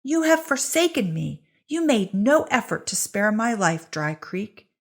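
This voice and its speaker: Woman acting a defiant witch, husky and creaky. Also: medium pitch, very animated, unhurried, voice pushed up. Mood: accusing. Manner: archaic, rhetorical speech. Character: defiant accused witch, fierce and indignant woman.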